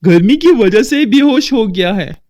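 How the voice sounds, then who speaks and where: Woman doing a slow-talking village man. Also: medium pitch, expressive, a little mellow, voice pushed down, close to an everyday voice. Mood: dismissive. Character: dismissive, skeptical village man.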